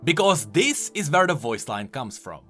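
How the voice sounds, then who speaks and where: Bright, very animated male explainer. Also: medium pitch, moderate pace, breathy edge. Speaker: video game lore YouTuber, host. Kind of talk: scripted explainer narration. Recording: music bed under voice-over. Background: background music.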